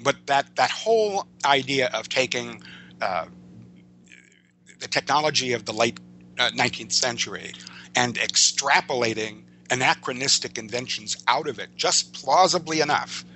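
An older American man speaking as an interview guest, reminiscing in a discursive, halting way and often correcting himself. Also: medium pitch, sharp tone, slight background noise, poor audio. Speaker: podcast guest, veteran writer.

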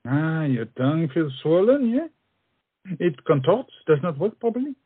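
An actor playing a witty French friend and mentor in a stage comedy, speaking in a flowery, coaxing, theatrical way. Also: male voice, a little high, big pitch swings, normal speed, slightly rough, no echo, narrow, tinny audio. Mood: playful reassuring.

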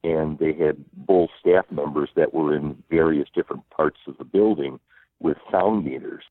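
A man with a strong, powerful voice, a former arena announcer, telling stories in a relaxed way during a phone interview. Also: very deep voice, dry recording. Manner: unhurried storyteller, many fillers. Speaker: guest, former arena public address announcer. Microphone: telephone. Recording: phone line.

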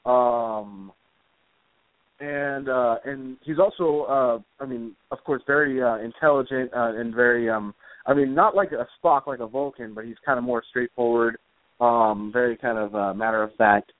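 A man giving casual, rambling interview answers as a guest author, speaking in a hesitant, halting way. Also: mid-range voice. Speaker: guest, comic book author. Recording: roomy, phone-like internet radio call.

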